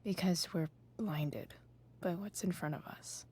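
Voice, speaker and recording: A woman playing a supportive, wry teenage girl in a video game, speaking in a hushed, tentative, breathy voice. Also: on the low side, measured pace. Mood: tender, tentative. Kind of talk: naturalistic video game voice acting.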